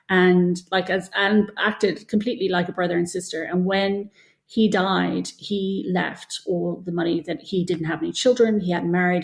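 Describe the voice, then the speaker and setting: Low, creaky-voiced woman explaining in conversation. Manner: explanatory, discursive, thinking aloud. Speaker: guest, children's author and former TV producer. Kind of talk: conversational interview answers.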